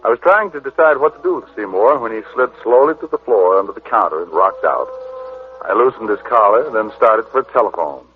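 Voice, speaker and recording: A man's voice: on the low side, lively intonation, a little fast, soft background music, lightly acted. An actor playing a sardonic, wisecracking male private detective who also narrates in 1950s radio drama style. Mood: brisk narrating. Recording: narrow, tinny old-time radio recording.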